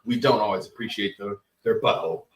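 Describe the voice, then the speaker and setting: A man's voice: lowish voice, steady tone, a little fast. A man hosting a casual cooking podcast, joking around and plugging the network's other shows. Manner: joking, hypes upcoming shows. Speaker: podcast host. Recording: roomy, distant mic.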